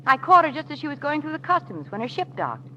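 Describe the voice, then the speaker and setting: A plucky, chatty society lady in an old radio serial. Her voice is bright and swoops with eagerness. The recording is lo-fi with light background noise. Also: high-pitched, sing-song, quick pace, exaggerated voice. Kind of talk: 1940s radio drama acting. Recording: dull, faintly noisy vintage 1940s radio recording.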